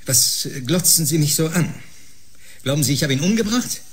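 A man's voice: fairly high pitch, animated, normal speed, close to an everyday voice. A man playing a sceptical, blustering doctor in a sci-fi radio play, sarcastic and theatrically emphatic. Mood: combative, indignant. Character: combative, scornful male doctor, sceptical and blustering. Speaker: actor in a sci-fi audio drama.

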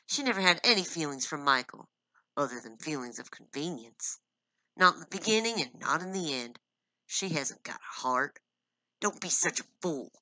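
A man's voice: fairly high pitch, animated, moderate pace, bright, forceful tone, no echo, rough recording. A woman voicing a bitter, hot-tempered husband who speaks harshly through gritted teeth, in a storybook reading. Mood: harsh, angry.

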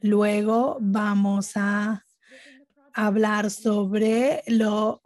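A woman giving live interpretation at a webinar, speaking haltingly phrase by phrase. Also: medium pitch, level tone, a little mellow, dry recording. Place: remote online conference audio channel.

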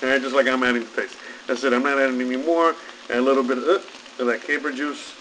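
A man's voice: medium pitch, steady tone, quick pace, bright, forceful tone, low-quality recording. A man in his thirties from Cuba chats casually and ramblingly as he hosts a home cooking vlog. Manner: easygoing, rambling. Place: home kitchen, indoors. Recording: noticeable background noise in a kitchen.

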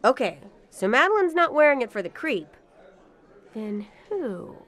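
High-pitched dim nightclub woman, slow drawl, parroting manner, radio drama. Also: very animated, fairly bright tone, full character voice. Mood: puzzled. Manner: drawling, echoing others' words. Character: dim, slow-witted club woman who parrots her companion. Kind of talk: comic radio drama acting.

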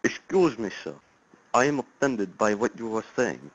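A prickly, overly formal Russian immigrant caller, put on by a man in a thick mock accent. He speaks in a stiff, literal way, and his voice is low. The line sounds muffled and lo-fi. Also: sing-song, normal speed. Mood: offended.

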